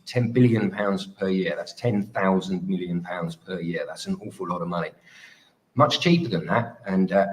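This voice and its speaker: A man speaking as a political candidate at a hustings, halting and repeating words as he goes. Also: on the low side, poor audio. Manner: halting, repetitive delivery. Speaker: parliamentary candidate. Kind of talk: hustings speech.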